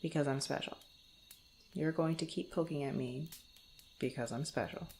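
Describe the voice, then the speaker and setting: A woman's voice: low voice, moderate pace, darkish tone, slight background noise. A woman playing a guarded but fond young female rogue in an intimate roleplay, bantering playfully and stammering when flustered. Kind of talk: intimate ASMR roleplay, one-sided conversation.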